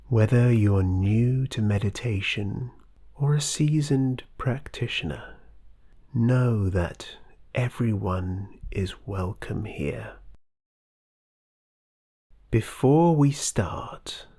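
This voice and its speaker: A meditation guide speaking softly and unhurriedly, with long pauses between short phrases. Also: mid-range voice. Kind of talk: slow guided meditation narration.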